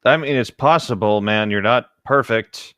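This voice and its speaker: A man's voice: mid-range voice, moderate pace, a bit bright, no echo. A man co-hosting a comedic read-along, reading aloud casually with dry, teasing asides.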